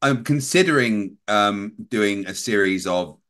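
A middle-aged man co-hosting a casual livestream over a video call, earnest and halting. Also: fairly low pitch, lively intonation, a bit bright. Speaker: co-host.

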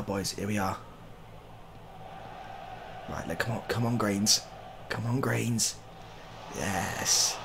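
A man's voice: on the low side, gravelly, background noise. A man in his thirties giving casual, joking game commentary, muttering in mock exasperation with mild swearing and repeated phrases. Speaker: gaming video creator.

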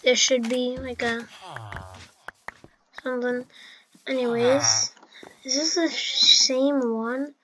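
Woman doing lazy slow game commentary, kinda high voice, faint hiss behind. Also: steady tone, fairly bright tone. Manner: unhurried, chatty, with many fillers and restarts. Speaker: gameplay narrator. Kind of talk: casual gameplay commentary.

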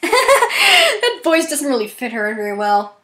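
Amateur female voice actor, high-pitched, giggly and self-mocking, chatting off the cuff. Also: huge pitch swings, takes it slowly, bright, forceful tone. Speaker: amateur voice actor recording an audition. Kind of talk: casual chat between takes.

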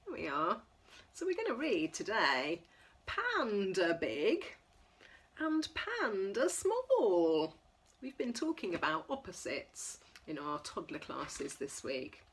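A middle-aged woman leading a children's storytime, reading a picture book aloud in an expressive, sing-song way for small children. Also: mid-range voice, slow, husky. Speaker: toddler class leader. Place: indoors in front of a backdrop.

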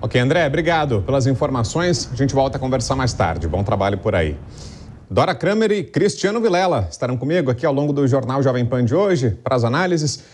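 A Brazilian man anchors the news in a medium-pitched voice. He sounds conversational, handing questions along from the studio desk. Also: expressive, a little fast, darkish tone, a little hiss or hum. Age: 30s. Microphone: lapel microphone.

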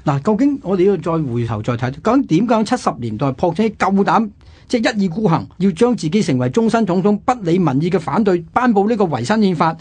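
A man's voice: high-pitched, big pitch swings, fast, darkish tone, breathy edge. A man with a Hong Kong Cantonese accent hosting a radio history talk, explaining emphatically and asking rhetorical questions. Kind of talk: conversational history lecture.

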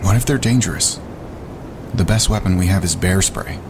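An actor plays a practical, cautious outdoorsman. His voice is deep and speaks plainly in short, firm phrases. Clear background noise surrounds him in this naturalistic audio drama. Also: moderate pace, lightly acted. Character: practical, cautious male wilderness camper, reassuring his partner.

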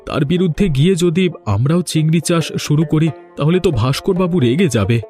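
A man's voice: a little high, animated, fast, close to an everyday voice. A man voicing a worried, humble village husband in a cartoon, earnest and questioning, with music underneath. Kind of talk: Bengali cartoon dubbing.

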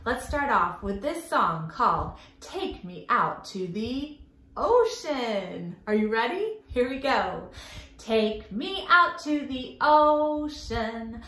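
A woman in her thirties hosting a storytime for young children, encouraging and expressive, speaking to a camera a short distance away indoors. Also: on the high side, slow pace. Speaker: children's library storytime host. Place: small indoor room, echoey. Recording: echoey room.

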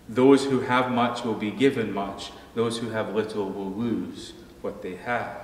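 A middle-aged man, a pastor, preaching a measured sermon from a pulpit in a church sanctuary, slowing down at the ends of his phrases. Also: medium pitch. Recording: echoey hall, faint room noise.

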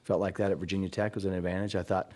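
A middle-aged man, a head coach, answering press questions at a postgame press conference in halting sentences full of restarts, into a table mic. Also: deep voice, flat delivery, darkish tone. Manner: halting, many restarts and fillers.

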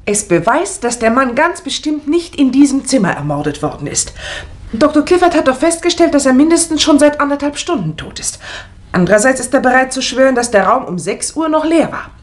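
An actress playing a sharp-witted, logical wife who reasons articulately in a 1960s German radio crime drama. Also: female voice, high-pitched, expressive, brisk. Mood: confident, reasoning.

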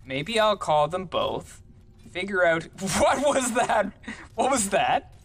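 A man's voice: high voice, lively intonation, slow, fairly bright tone. A young man doing goofy, rambling let's-play commentary at home, joking while he plays. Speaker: gaming streamer.

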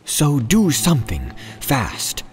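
A man's voice: mid-range voice, huge pitch swings, slow, pitched above the performer's own voice. A man voicing an anxious, scolding pet fish in a storybook, fretful and urgent. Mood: panicked. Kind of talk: storybook read-aloud.

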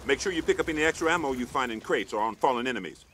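An actor playing a gruff, blunt army squad sergeant who gives commands in a military video game. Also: male voice, mid-range voice, lively intonation, very fast, fairly bright tone, lightly acted. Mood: steady, commanding. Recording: clear vehicle and outdoor noise behind.

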